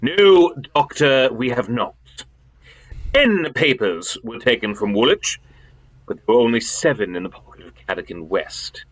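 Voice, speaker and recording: An actor playing a pompous, imperious elder-brother government official in a radio drama, with emphatic theatrical delivery. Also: male voice, medium pitch, expressive, measured pace, low-quality recording. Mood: urgent, haughty.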